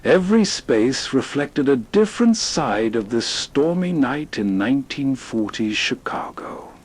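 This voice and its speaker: A man's voice: mid-range voice, very animated, unhurried, a little mellow. A man hosting with scripted, atmospheric storytelling narration. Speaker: host.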